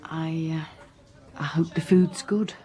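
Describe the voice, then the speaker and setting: A female detective inspector with a slightly low voice. She is guarded and firm, with a dry, weary edge. The delivery is naturalistic radio drama, measured and understated. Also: fairly even intonation, mellow. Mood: subdued, hesitant. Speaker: actor playing a police detective.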